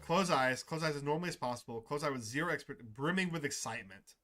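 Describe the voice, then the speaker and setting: Expressive gaming streamer over music. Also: mid-range voice, fast. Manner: expressive read-aloud, stumbling over long words. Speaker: gaming YouTuber. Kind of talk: let's play, reading visual novel dialogue aloud with live reactions.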